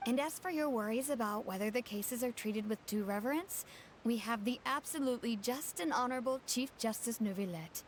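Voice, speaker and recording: Woman acting a bright-mannered, high-voiced young townswoman. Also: a little fast, slight background noise, lightly acted. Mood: reassuring, proud. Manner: courteous, explanatory, expressive. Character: polite, proud young townswoman explaining her nation, earnest. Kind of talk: video game voice acting. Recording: background music under voice.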